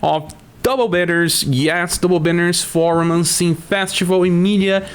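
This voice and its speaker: A man's voice: sits high, fairly even intonation, smooth. Brazilian man with a flu-sore throat. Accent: Brazilian Portuguese. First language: Portuguese. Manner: explanatory, talks through stats with frequent "uh" pauses. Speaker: gaming channel host. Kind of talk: casual game news commentary.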